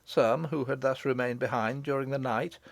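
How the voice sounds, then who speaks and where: A man's voice: mid-range voice, steady tone, normal speed, creaky, no echo. A man reading scripted storybook narration as an audiobook narrator.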